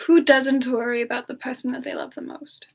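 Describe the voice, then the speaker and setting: Woman as a high-voiced, gentle playful siren. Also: flat delivery, creaky. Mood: tender, earnest. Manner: soft, unhurried, giggly, hesitant. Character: gentle playful siren entertainer, affectionate toward a partner. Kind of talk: semi-ASMR live Q&A in character.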